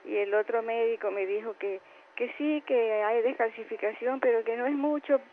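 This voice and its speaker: A woman's voice: fairly high pitch, moderate pace, a little hiss or hum. A woman in her seventies calling in to a health show, telling her story in a rambling, polite way. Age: 70s. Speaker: caller. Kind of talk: phone-in question. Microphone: telephone. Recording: tinny phone line.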